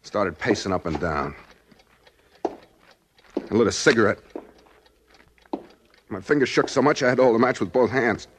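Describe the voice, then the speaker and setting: A man's voice: fairly low pitch, lively intonation, moderate pace, pauses often, background noise, lightly acted. A man playing a desperate small-time loser on the run in 1940s radio acting, anguished and pleading, on an old radio recording. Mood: brooding. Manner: anguished, pleading, stammering under pressure. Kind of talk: 1940s radio acting, first-person noir narration.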